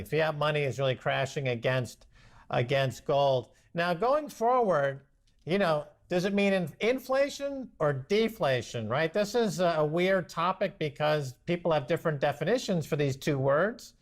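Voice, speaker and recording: A man's voice: on the high side, lively intonation, normal speed. A man hosting an interview show, asking long, chatty, rambling questions. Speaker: show host.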